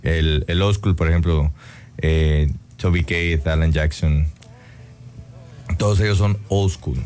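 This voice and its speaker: Man, very low voice, reflective interview guest, hesitant fillers. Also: fairly even intonation, slow pace, darkish tone, faint music behind. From Guatemala. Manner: reflective, many "eh" fillers and tag "¿no?". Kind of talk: casual interview chat.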